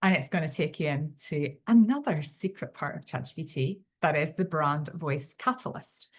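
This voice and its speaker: A woman's voice: low-pitched, moderate pace. A woman with a Belfast accent giving a live online tutorial, talking in an enthusiastic, chatty and unpolished way over a computer microphone. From Northern Ireland. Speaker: webinar presenter.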